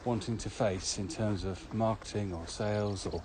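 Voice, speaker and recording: A man co-hosting a podcast, explaining things in a measured, slightly formal way. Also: lowish voice, steady tone. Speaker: podcast co-host. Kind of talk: explanatory walking podcast chat. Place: walking outdoors across open fields. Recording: outdoor recording with clear ambient noise. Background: outdoor ambience.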